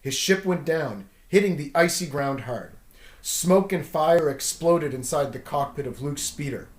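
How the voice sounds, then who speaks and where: A man's voice: a little high, expressive, measured pace. A man reading a children's adventure story aloud in a dramatic storytelling style, emphasizing the dialogue. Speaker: storybook read-aloud host. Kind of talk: scripted children's story narration. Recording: roomy echo.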